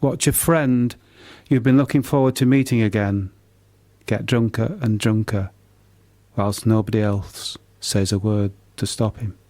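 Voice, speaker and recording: An older British man, a poet being interviewed, who speaks haltingly and often repeats the starts of his words. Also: lowish voice, steady tone, a little mellow. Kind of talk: casual radio interview.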